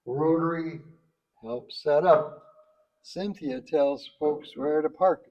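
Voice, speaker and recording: A man's voice: a little high, takes it slowly, muffled recording. A man reading a rhyming tribute poem aloud to a group at a banquet, stumbling now and then over his words. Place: banquet event, speaking to a group. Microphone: event microphone.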